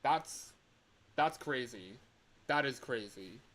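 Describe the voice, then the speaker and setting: A man's voice: medium pitch, expressive, slow, a bit bright. Man rambling on a livestream, noisy background. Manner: rambling, thinking aloud, repeats phrases. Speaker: livestream host and game developer. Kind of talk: casual livestream chat while demonstrating a game in development. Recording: clear background noise with clicking.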